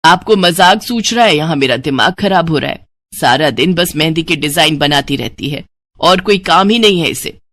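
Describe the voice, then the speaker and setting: A woman voicing a strict middle-aged mother who nags and grumbles in a lowered voice. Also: animated, normal speed, fairly bright tone, dry recording, heavily acted. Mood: grumbling. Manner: nagging, grumbling.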